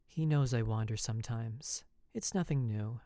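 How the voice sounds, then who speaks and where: A performer voicing a calm, unworried married woman in a western audio story. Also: medium pitch, normal speed, no room sound, clean studio sound, close to an everyday voice. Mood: calm, unbothered.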